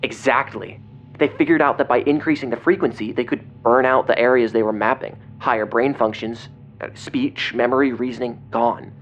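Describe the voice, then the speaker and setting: A man playing an agitated investigator in an audio drama, speaking in a grave, halting, intense way over a phone. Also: medium pitch, fairly even intonation, lightly acted. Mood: urgent revelation. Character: agitated male investigator relaying grim secret documents by phone. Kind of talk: audio drama acting, partly reading documents aloud. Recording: dull lo-fi phone call, clear noise.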